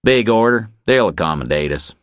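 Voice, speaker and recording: A narrator, a man, plays a cocky ranch hand in a crime audiobook. The voice is low but lifted above the storytelling, breezy and offhand, recorded dry. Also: animated, normal speed, smooth, no room sound, natural voice.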